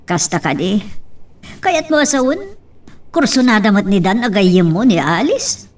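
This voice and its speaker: A woman's voice: medium pitch, big pitch swings, unhurried, recorded from a distance. A woman acts as an inquisitive Ilocano mother of a grown son in a family drama. She is recorded slightly away from the microphone, with faint music underneath. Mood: curious. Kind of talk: Ilocano radio-style love drama acting.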